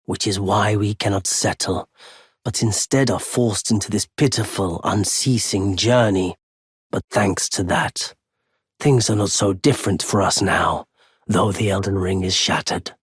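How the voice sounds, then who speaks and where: A very husky, lowish male voice for a wandering merchant in a video game. He speaks slowly and politely, with weary understatement and a quietly unforgiving streak. The recording is dry and close. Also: dry recording, full character voice. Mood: wistful, weary. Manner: measured, polite, wry, understated. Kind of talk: video game character dialogue.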